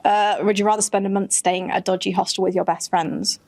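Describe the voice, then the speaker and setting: A woman's voice: medium pitch, level tone, brisk, darkish tone. Casual, wryly teasing woman co-hosting a podcast. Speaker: podcast co-host. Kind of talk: casual, unscripted podcast banter.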